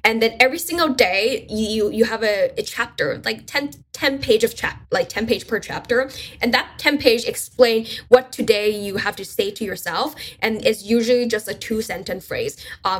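A woman's voice: medium pitch, breathy edge. A woman whose first language is Mandarin, a guest talking casually in an interview with many fillers and restarts. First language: Mandarin Chinese. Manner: relaxed, chatty, with fillers and restarts.

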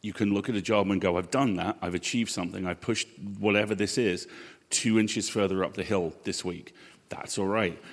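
A man with a low voice answers on a live panel in an echoey hall. He is earnest and measured, often landing his advice in short, punchy sentences. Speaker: panel guest. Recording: echoey hall with long reverb.

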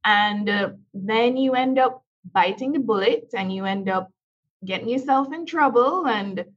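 A woman's voice: a little high, unhurried. A Jamaican woman with an uptown Jamaican accent that leans American Southern, talking casually as a podcast guest, laughing easily and repeating phrases for emphasis. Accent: uptown Jamaican, with an American Southern tinge. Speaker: podcast guest, business coach. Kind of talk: casual conversation. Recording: dull lo-fi sound.

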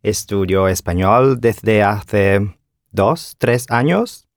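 Slow, hesitant Italian-accented man. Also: lowish voice, no room sound, clean studio sound. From northern Italy. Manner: hesitant, searching for words. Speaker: guest language student. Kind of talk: casual chat.

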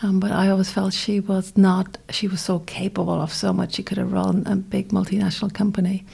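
A woman with an Irish accent, a podcast guest who reflects softly on her upbringing and sometimes drops to near whispers. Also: mid-range voice, flat delivery, a little fast, gravelly, breathy edge. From Ireland. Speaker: interview guest. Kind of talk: reflective conversation.

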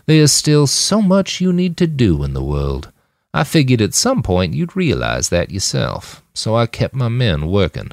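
A man voicing a smug, amused, amoral male warmonger in an audiobook, self-satisfied and persuasive. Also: medium pitch, huge pitch swings, a little slow, gravelly, no echo, clean studio sound, voice pushed up, heavily acted. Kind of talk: audiobook character voice.

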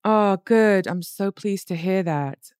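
A woman's voice: medium pitch, slow pace, darkish tone, smooth, no room sound, clean studio sound. A woman interviewing a guest, asking attentive, probing questions. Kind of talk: conversational interview.

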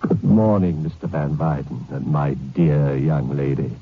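An actor playing a mockingly polite, menacing male ship captain with a husky voice in an old radio drama. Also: rumbling low, measured pace, soft background music, exaggerated voice. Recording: thin tinny old radio clip.

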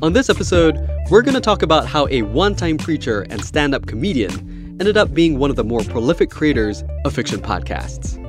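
A man's voice: fairly high pitch, very animated, fairly quick, music in the background. A man hosting a podcast, reading scripted narration. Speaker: podcast host and interviewer. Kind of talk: scripted narration with interview chat.

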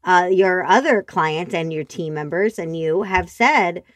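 A woman with a nasal voice talking casually on a podcast, expressive and animated as she tells stories. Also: on the low side, a little slow, dry recording. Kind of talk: casual chat. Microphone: remote call.

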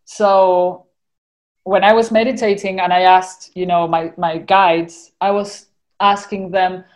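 A woman's voice: fairly low pitch, steady tone, slow pace. Earnest Argentinian woman, rambling. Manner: earnest, rambling, lots of "you know". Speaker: podcast guest. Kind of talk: casual spiritual conversation.

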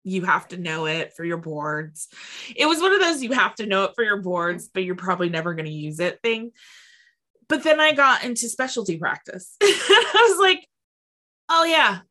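A woman podcast host with a bright, forward voice and a slightly stuffy nose. She chats casually at a medium pitch and animatedly acts out little imagined quotes as she goes. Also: big pitch swings, moderate pace. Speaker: veterinary technician. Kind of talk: casual chat.

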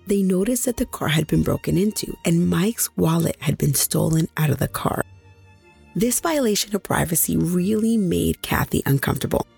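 A woman hosting a true crime podcast, hoarse after nearly losing her voice, chatting directly with her listeners. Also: fairly low pitch, darkish tone. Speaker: podcast host.

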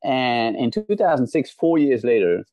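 A middle-aged man from Belgium, a guest on a podcast interview, telling a story in an animated way and often repeating words as he starts a sentence. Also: mid-range voice, normal speed, no room sound. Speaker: guest, motivational speaker. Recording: dry, dull lo-fi sound.

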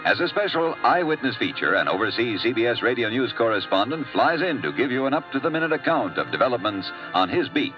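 A man's voice: medium pitch, sing-song, fast, hardly any pauses. A man as a 1950s radio announcer, reading a network promo in an upbeat manner. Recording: dull old radio transcription with music.

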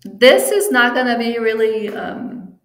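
A woman's voice: fairly high pitch, animated, unhurried, fairly bright tone, roomy sound. A woman whose first language is Portuguese, a guest talking casually in an interview, with lots of fillers and restarts. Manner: chatty, with frequent fillers and restarts. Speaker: interview guest, team travel planner.

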